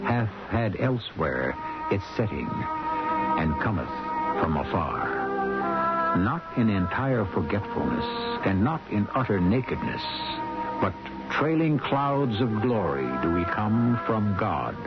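A man hosting a radio drama with measured, solemn, theatrical scripted narration. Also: fairly low pitch, wildly swooping pitch. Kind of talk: scripted narration with poetry recital. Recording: muffled old radio broadcast. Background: music.

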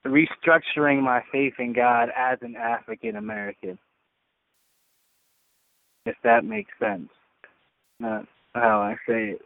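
A man's voice: mid-range voice, no echo. A man speaking casually and reflectively on a community call, earnest and often searching for his words. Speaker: caller on a faith community call. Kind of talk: casual, reflective personal sharing. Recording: narrowband, radio-like phone line.

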